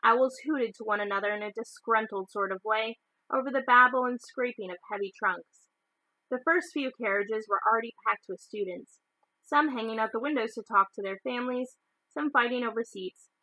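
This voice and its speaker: A woman's voice: fairly high pitch, normal speed, pushed and bright, no room sound, poor audio. A woman reading a children's novel aloud as a storyteller. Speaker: storybook reader.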